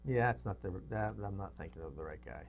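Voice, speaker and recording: A man's voice: deep voice, quick pace, slight background noise. A man co-hosting a movie show in a casual, rambling way, sometimes muttering asides as he goes. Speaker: co-host of a movie review show. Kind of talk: casual unscripted movie chat, reading synopses aloud.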